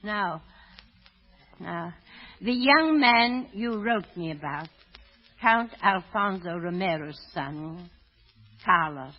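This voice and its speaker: An older actress playing a poor, anxious, doting old woman who sells apples, with halting, fretful delivery in a 1930s radio drama. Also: lowish voice, slightly rough. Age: 60s or older. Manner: halting, fretful, muttering to herself. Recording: dull vintage radio recording.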